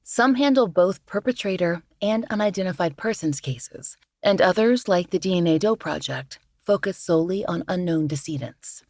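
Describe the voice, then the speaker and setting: A woman's voice: on the low side, expressive, moderate pace, few pauses, a little mellow, dry recording, studio quality. Scratchy-voiced woman hosting a podcast. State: scratchy voice from the flu. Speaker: podcast host. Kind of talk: scripted true-crime narration.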